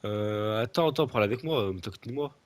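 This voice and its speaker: A young man with a stuffy, blocked nose talks in a lowish voice over gameplay. He rambles, restarts sentences and fills gaps with hesitations while teasing his friend. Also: fast, smooth. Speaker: gaming video creator. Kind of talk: casual gameplay chat with a friend.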